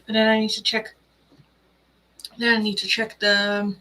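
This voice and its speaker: A woman's voice: medium pitch, completely flat delivery, measured pace, sharp tone, slight background noise, poor audio. A woman hosting a casual tarot live stream, chatting in a bubbly, rambling way with lots of fillers.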